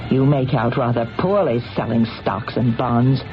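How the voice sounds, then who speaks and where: A woman's voice: very deep voice, normal speed, muffled recording. An actress playing a cold, possessive, wealthy woman in old-time radio drama, speaking precisely and commandingly. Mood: imperious, possessive. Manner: imperious, precise, formal, commanding. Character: cold, possessive, wealthy, murderous businesswoman mistress. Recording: band-limited old radio drama with music.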